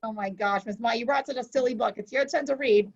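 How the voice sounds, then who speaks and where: A woman's voice: fairly high pitch, fast talker. A woman hosting a children's story show, reading aloud and chatting with exclamations to young listeners. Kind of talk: children's read-aloud with chat. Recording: muffled lo-fi audio.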